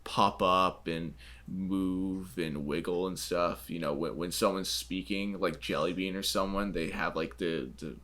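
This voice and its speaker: A man with a notably low voice co-hosts a casual podcast. His delivery is sarcastic and jokey, and he laughs along easily as he talks. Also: fairly even intonation, measured pace, a bit bright. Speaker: podcast co-host. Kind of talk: casual chat.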